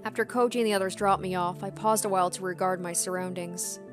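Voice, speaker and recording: A woman's voice: on the low side, steady tone, fast talker, over music. A woman reading a first-person story aloud as a dramatic narration. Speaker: gaming video host.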